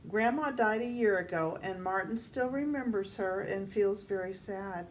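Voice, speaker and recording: An older woman reads a children's picture book aloud in a deliberate way, addressing young listeners. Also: mid-range voice. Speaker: storytime reader. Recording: distant mic, faint background noise.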